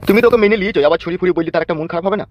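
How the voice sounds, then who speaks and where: A man's voice: high voice, very fast, close to an everyday voice. A man playing a coarse, wheedling two-timing husband in a comic cartoon. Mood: coaxing. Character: bickering, sweet-talking two-timing husband, foul-mouthed. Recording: muffled narrow-band audio over music.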